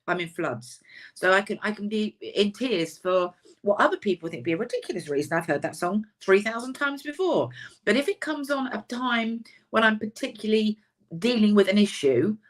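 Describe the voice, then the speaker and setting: Slightly husky woman explaining. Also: medium pitch. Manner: chatty, self-deprecating, emphatic. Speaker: counsellor and author. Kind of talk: webinar talk.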